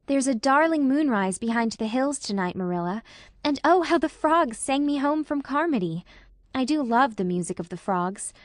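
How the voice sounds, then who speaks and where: A woman's voice: high voice, animated, moderate pace, no echo, studio quality, pitched above the performer's own voice. A woman voicing a dreamy, romantic young woman who speaks in a gushing, lyrical way. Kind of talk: audiobook character voice.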